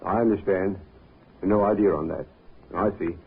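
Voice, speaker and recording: An actor playing a polite, methodical police inspector asking measured questions in a 1950s radio drama. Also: male voice, low voice, steady tone, vocal fry, husky, full character voice. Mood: courteous, probing. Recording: muffled 1950s radio recording.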